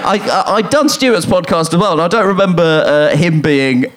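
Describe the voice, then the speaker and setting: A male comedian guest telling quick, sweary, self-mocking anecdotes on stage in a live comedy podcast chat. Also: high-pitched, animated. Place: on stage in a theatre. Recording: live theatre audience laughing.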